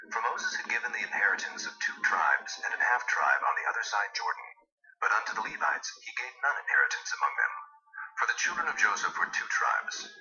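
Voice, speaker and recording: A man narrating a dramatized scripture reading in a solemn, measured, formal manner. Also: lowish voice, distant mic. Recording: muffled sound with music behind. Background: music.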